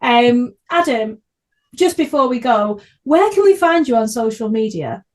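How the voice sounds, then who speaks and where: A woman hosts a casual podcast conversation. Her voice sits fairly high. She speaks effusively and with enthusiasm, in an informal chatty style. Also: big pitch swings, unhurried. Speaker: podcast host.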